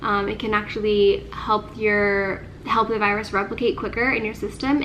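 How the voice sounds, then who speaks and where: A woman's voice: a little high, fairly bright tone, a little hiss or hum, poor audio. A young woman chatting casually to camera, her voice nasal and congested from illness, filling her talk with "like.". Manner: chatty, sprinkles speech with "like". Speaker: vlogger.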